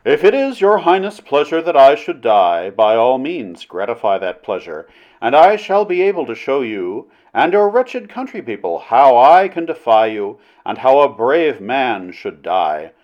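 Bold adventurer hero, voiced by a male reader: impassioned, archaic oratory, very animated pitch, slightly dull sound. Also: a little slow. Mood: defiant. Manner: impassioned, declamatory, archaic formal speech. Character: bold, cunning adventurer hero wooing a princess. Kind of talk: audiobook character voice in old adventure romance.

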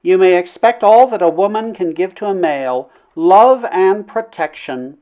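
A man's voice: high voice, animated, normal speed, highs cut off, pitched above the performer's own voice. A man voicing an amorous, coaxing princess in an audiobook, with a cooing, condescending manner. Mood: murmuring, amorous. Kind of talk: audiobook character dialogue.

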